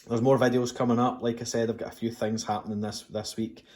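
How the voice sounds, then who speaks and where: A man's voice: medium pitch, flat delivery, quick pace, darkish tone. A Scottish man hosting a collectibles vlog at home, chatting casually with plenty of fillers and restarts. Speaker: toy collector channel host. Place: home room with display cabinets.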